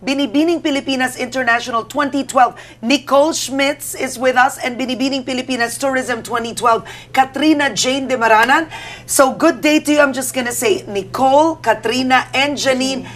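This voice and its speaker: A woman's voice: high voice, fairly bright tone. A woman in her forties hosting a TV talk show, chatting and teasing her guests in a bubbly way, mixing English and Tagalog. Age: 40s. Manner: bubbly, teasing, quick to laugh. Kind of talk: casual studio chat mixing English and Tagalog. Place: TV studio.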